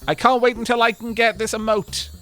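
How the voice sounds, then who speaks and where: A man streaming a game, bantering with chat in an animated, rambling, self-deprecating way, with faint music behind. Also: very high-pitched, a little fast. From Wales.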